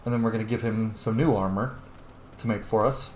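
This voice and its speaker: A man's voice: on the low side, steady tone, a little fast, a little mellow. A man giving casual, informal let's-play commentary, thinking aloud as he plays a video game. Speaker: video game player, streamer. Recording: roomy echo, faint background noise.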